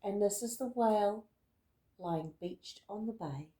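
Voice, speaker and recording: A woman reading a rhyming picture book aloud in an expressive, deliberate storytelling manner. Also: mid-range voice, reverberant. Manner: dramatic, deliberate storytelling with emphatic words. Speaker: storybook reader.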